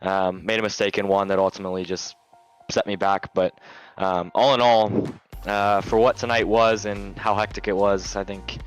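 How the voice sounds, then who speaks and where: Hoarse man, hesitant and low-voiced. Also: steady tone, muffled recording, soft background music. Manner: hesitant, halting speech. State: hoarse, losing his voice. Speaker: sim racing driver interviewed after a race. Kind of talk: casual post-race interview. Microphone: online voice chat.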